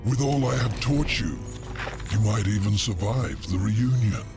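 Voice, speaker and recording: Evil demon lord from a game, super low n croaky, taunting, drags out words. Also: male voice, animated, music in the background. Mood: menacing. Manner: theatrical, drawn-out, taunting. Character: imprisoned demon lord, sly, mocking and menacing. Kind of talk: video game cinematic acting.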